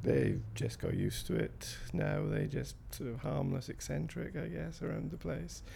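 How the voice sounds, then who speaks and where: A man's voice: a little high, expressive, unhurried, vocal fry, dark tone, a little hiss or hum. A man in his fifties with a South Wales Valleys accent, answering interview questions in a hesitant, rambling way with fillers and restarts. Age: 50s. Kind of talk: recorded interview.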